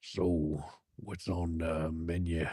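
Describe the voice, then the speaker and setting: A man playing a cocky, flirtatious chef in a romance drama, with a smooth, teasing drawl. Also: a bass voice, little pitch movement, slow pace, dark tone, no echo, studio quality, voice pushed down, exaggerated voice. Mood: smug, playful. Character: Liam, cocky flirtatious male chef, arrogant and mischievous.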